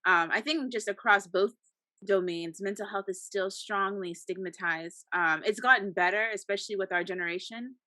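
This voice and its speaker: A woman guest from the United States, a psychology doctoral student, speaking in an articulate, measured way with occasional fillers. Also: medium pitch, bright, forceful tone, no room sound. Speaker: guest, psychology PhD candidate. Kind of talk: casual panel conversation.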